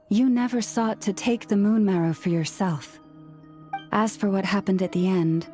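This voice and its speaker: A woman playing a gentle, solemn young leader of a sacred order in a video game, speaking in a measured, formal and earnest way. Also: medium pitch, lightly acted. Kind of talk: video game character acting.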